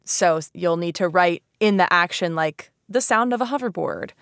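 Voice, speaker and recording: A woman's voice: on the low side, normal speed, fairly bright tone, smooth, no echo, studio quality. A woman hosting a podcast in a casual, chatty way, playful and self-deprecating, often saying "like". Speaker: podcast host.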